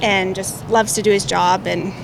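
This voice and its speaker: A horse rider from Montana speaks matter-of-factly in a flat, even tone. She is recorded outdoors, with clear background noise and passing vehicles. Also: mid-range voice, normal speed.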